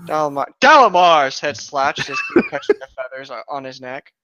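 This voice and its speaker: A man's voice: a little high, big pitch swings, normal speed, noisy background. A man co-hosting a casual comedic read-aloud, recorded on a dull, lo-fi home microphone. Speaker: co-host reading aloud.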